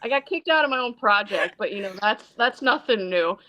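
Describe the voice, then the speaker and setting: A woman from Iceland with a bright, forceful voice at a middling pitch. She chats casually as a filmmaker guest, rambling from one aside to the next and acting out little quotes in an animated way. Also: brisk, poor audio. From born in Iceland, Icelandic mother and American father. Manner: animated, rambling storyteller who acts out quotes. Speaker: interview guest, independent filmmaker and actress. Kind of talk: casual chat about own film projects.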